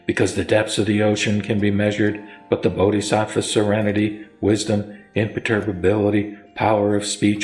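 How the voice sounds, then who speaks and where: Man playing serene Buddha teacher, low-pitched, solemn measured preaching, echoey, soft music behind, sutra audio drama. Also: lightly acted. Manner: solemn, measured sermon delivery.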